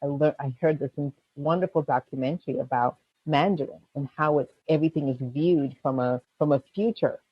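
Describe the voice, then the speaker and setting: Husky woman with a slight Jamaican accent. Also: low-pitched, a little fast, hardly any pauses, no echo, muffled recording. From Panama. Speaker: guest, medical interpreting executive. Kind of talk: casual interview answers.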